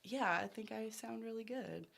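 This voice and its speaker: A woman's voice: fairly high pitch, normal speed, vocal fry, a bit bright. A woman hosting a music podcast, chatting casually, giggling often and telling stories animatedly. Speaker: podcast host. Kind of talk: casual interview chat.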